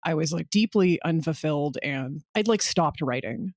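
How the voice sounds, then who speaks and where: A woman's voice: low voice, expressive, moderate pace, darkish tone, dry recording, clean studio sound. A woman chatting casually and candidly, in an informal, emphatic way. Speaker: podcast co-creator, writer. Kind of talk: casual chat.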